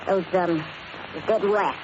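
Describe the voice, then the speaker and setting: A woman's voice: on the low side, unhurried, lightly acted. An actress playing a nosy, slangy American gangster's girlfriend, needling yet clingy, in an old-time radio crime drama. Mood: curious. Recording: noisy, muffled old radio recording.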